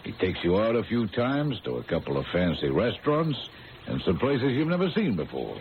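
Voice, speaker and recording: A middle-aged man playing a skeptical, patronizing veteran police sergeant in an old-time radio drama, with folksy, drawn-out emphasis. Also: fairly low pitch, very animated. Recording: tinny, noisy old radio broadcast.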